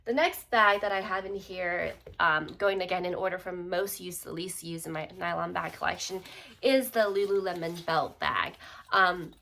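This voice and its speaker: A woman's voice: on the low side, moderate pace, creaky, slight background noise. A young adult woman who sounds congested, chatting casually through a bag review vlog at home, with lots of fillers. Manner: relaxed, chatty, many fillers. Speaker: YouTube vlogger.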